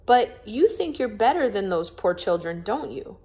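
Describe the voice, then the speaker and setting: A woman's voice: mid-range voice, sing-song, normal speed, a little mellow, pitched above the performer's own voice. A woman voicing a frank, skeptical young boy in a storybook, blunt and challenging. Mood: annoyed.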